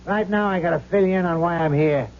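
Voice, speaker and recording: Cocky tough-guy gambler's ghost, highish voice. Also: fast. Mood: cocky, bitter. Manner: tough-guy underworld slang, boastful. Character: slangy, vengeful ghost of a dead gambler, cocky. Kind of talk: 1970s radio drama acting. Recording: dull, noisy old radio recording with hum.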